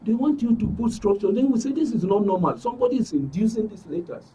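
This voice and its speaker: Male interview guest, middle-aged, Nigerian accent. Slightly high, rough-edged voice, emphatic delivery, off-mic sound. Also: very animated, fast, dark tone, far from the mic, low-quality recording. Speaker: studio guest, aggrieved club member. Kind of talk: spoken account in a broadcast interview. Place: radio and TV studio.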